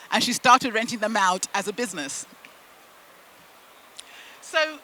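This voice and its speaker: A woman from the UK and Zimbabwe, a native Shona speaker, giving a motivational storytelling talk with deliberate phrasing and emphatic pauses. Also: fairly high pitch, sharp tone, rough recording. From United Kingdom and Zimbabwe. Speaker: keynote speaker at a business event.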